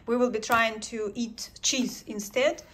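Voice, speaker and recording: A woman's voice: fairly high pitch, steady tone, unhurried, fairly bright tone, slight background noise. A woman vlogger chatting casually through a grocery haul at home, hesitant, with many ums and self-corrections. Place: home, indoors at a table.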